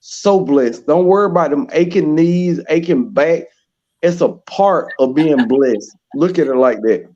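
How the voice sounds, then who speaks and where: Animated Southern man, dull livestream audio. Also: a little high, unhurried. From Georgia, United States. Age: 40s. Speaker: participant in a group live chat. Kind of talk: casual group chat.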